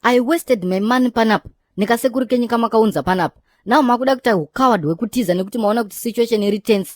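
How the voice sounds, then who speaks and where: A woman's voice: fairly high pitch, very fast, dry recording, studio quality, natural voice. Woman as a fed-up, no-nonsense cartoon character. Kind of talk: comedy cartoon voice acting.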